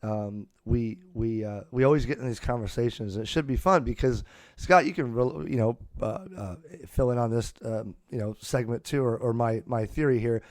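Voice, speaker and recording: A man's voice: mid-range voice, normal speed, a little mellow, no room sound. A man hosting a podcast in a casual, unscripted way, rambling and often restarting his sentences. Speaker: podcast host. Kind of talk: casual unscripted hobby chat. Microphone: close USB podcast microphone.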